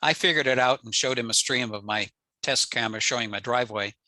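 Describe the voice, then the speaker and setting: A man's voice: mid-range voice, quick pace, bright, forceful tone, no room sound. An older American man giving an informal slide presentation in a matter-of-fact, explanatory way. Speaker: presenter, amateur radio operator. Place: indoors on a video call.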